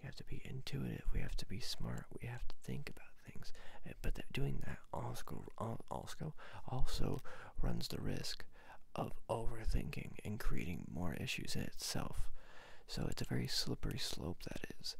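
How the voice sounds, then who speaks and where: A man doing an ASMR roleplay as a hesitant magic ring salesman, speaking in a breathy, creaky half-whisper and often repeating himself. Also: lowish voice, sing-song, moderate pace, hardly any pauses, fairly bright tone, no room sound. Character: shifty, hesitant seller of magic rings. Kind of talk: ASMR roleplay, soft improvised salesman patter.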